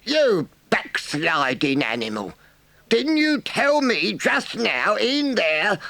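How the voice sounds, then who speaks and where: A man voicing a solemn, stern but kindly elderly badger who makes slow, pompous speeches in a storybook reading. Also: sits high, sing-song, vocal fry, bright, forceful tone, dry recording, voice pushed up, full character voice. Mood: scandalized.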